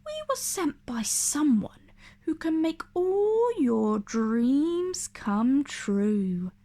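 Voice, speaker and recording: A woman's voice: high voice, big pitch swings, very slow, warm tone, heavily acted. A woman voicing sinister, coaxing sea eels in a children's storybook, with a hissing, whispery voice.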